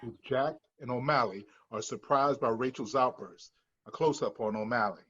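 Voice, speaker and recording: A man's voice: mid-range voice, moderate pace, fairly bright tone, husky, highs cut off. A man narrating scripted stage directions at a screenplay table read.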